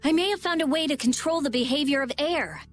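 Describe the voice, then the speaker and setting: A woman's voice: high voice, fast, faint music behind, natural voice. An actress playing a brusque, impatient teenage girl genius in a video game, blunt and snappy in delivery. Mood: intent. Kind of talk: animated video game acting.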